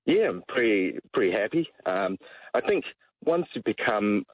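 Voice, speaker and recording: He is a middle-aged man, chatting casually on talk radio. His voice sits very low and has a rough, husky grain. He feels his way through sentences hesitantly, and the audio is somewhat dull. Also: big pitch swings, normal speed, no echo. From Malaysia. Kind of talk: casual chat.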